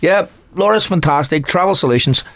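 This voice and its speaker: A man's voice: fairly high pitch, animated, a little fast, fairly bright tone. A man, a cheerful guest chatting enthusiastically and laughing easily. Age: 60s. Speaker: guest, the host's father. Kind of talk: casual chat.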